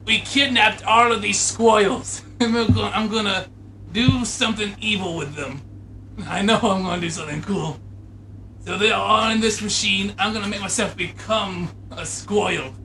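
Comic would-be supervillain inventor, male performer: high-pitched, excitable, rambling, forceful. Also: normal speed, a little hiss or hum, rough recording. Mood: gleeful scheming. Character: excitable, scheming male inventor turning squirrel supervillain, easily panicked.

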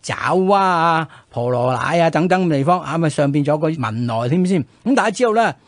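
Fast-talking Hong Kong man telling history. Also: on the high side, dry recording. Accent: Hong Kong Cantonese. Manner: animated explainer, frequent rhetorical check questions. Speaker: radio history show co-host. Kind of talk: conversational historical storytelling.

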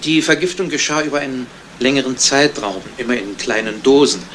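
A man's voice: medium pitch, brisk, fairly bright tone, a little hiss or hum, lightly acted. Dry, persistent police detective played by a man. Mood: matter-of-fact. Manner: matter-of-fact, probing questions. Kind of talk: 1970s German radio crime drama acting.

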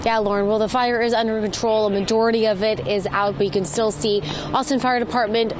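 A woman in her thirties reporting live breaking news in a measured broadcast delivery, speaking into a handheld microphone outdoors. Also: on the high side, steady tone. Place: outdoors on a city street at night. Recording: outdoor ambient street noise.

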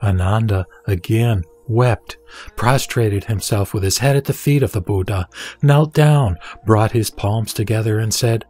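A man reading a dramatised Buddhist scripture as narrator, in a measured, solemn and formal delivery. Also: on the low side, a little mellow. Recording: background music under the voice. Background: music.

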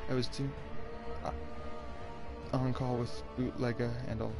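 A man's voice: medium pitch, little pitch movement, a little slow, vocal fry. A man chatting casually on a live game stream in a reluctant, self-deprecating way. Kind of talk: casual live-stream chat while gaming. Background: fighting game music.

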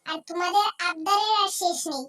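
Cartoon village wife, acted by a woman: extremely high voice, indignant, scolding, overworked, recorded at a distance. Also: fairly even intonation, fairly quick, sharp tone. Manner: nagging, indignant. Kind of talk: cartoon voice acting.

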